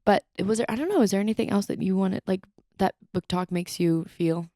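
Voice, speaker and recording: A woman's voice: medium pitch, fairly quick, dark tone, no room sound, clean studio sound. A young woman co-hosting a podcast, sharing her opinions in casual, informal conversation. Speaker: podcast co-host.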